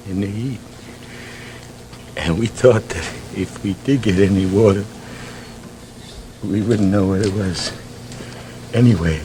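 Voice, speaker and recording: An actor playing a guilt-ridden townsman in a halting, theatrical, anguished confession from a vintage drama. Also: on the low side. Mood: bitter, brooding. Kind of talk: 1950s television drama acting. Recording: noisy vintage broadcast drama audio.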